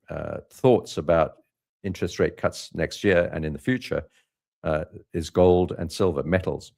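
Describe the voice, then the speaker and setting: A man hosting a live trading update, talking loosely with many fillers and restarts about markets and his own past. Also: sits low. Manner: relaxed, rambling with frequent fillers and restarts. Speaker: trading channel host.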